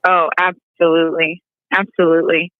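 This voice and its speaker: A woman, a model, who is a guest on a live interview and is upbeat and quick to laugh. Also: lowish voice, little pitch movement, no echo. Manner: upbeat, giggly. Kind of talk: phone interview. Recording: tinny phone line.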